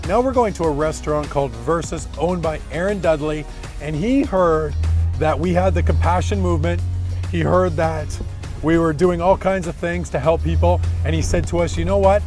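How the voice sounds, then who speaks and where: A man hosting a vlog, talking energetically to camera and going hoarse. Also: on the high side, normal speed, faint music behind. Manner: energetic, motivational preaching. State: losing his voice. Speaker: vlog host. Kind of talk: casual vlog talk to camera.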